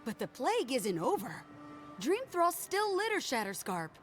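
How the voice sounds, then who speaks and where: Challenging game frontierswoman: highish, expressive, doubting. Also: moderate pace, soft background music. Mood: skeptical. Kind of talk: video game cutscene dialogue.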